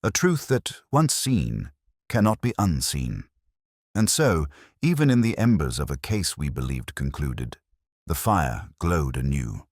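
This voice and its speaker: A man with an extremely low voice narrates a mystery story in solemn, literary phrasing. His pitch moves expressively through each measured sentence. The sound is dry and close, with little room around it. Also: no echo. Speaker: audiobook narrator reading as Dr. Watson. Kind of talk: scripted dramatic story narration.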